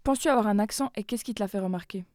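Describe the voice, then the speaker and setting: A woman hosting and narrating a street-interview report, putting questions to passers-by in a brisk, lively manner. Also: mid-range voice, dry recording, clean studio sound. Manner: brisk, lively presenter delivery. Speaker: host and interviewer.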